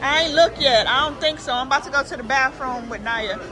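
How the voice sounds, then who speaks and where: A woman's voice: sits high, brisk. An American woman chatting casually and informally as she films a family vlog on a handheld camera. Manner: informal, colloquial. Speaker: family vlogger, mother. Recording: background music, busy public chatter.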